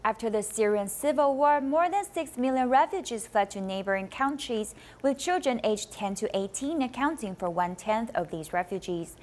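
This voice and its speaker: Female news narrator, fairly high voice, deliberate read, faint background hiss. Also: expressive.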